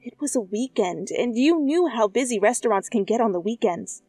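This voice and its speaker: A woman narrating a second-person fan fiction story in a playful, conversational way, with animated asides. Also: fairly high pitch, moderate pace, slightly rough, dry recording, soft background music. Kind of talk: expressive second-person story narration, ASMR fanfic reading.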